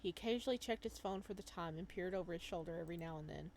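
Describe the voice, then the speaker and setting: A woman reading a visual novel aloud as a let's play host, reacting to the story in an animated way. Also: lowish voice, very fast, slight background noise. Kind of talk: game commentary mixed with read-aloud narration.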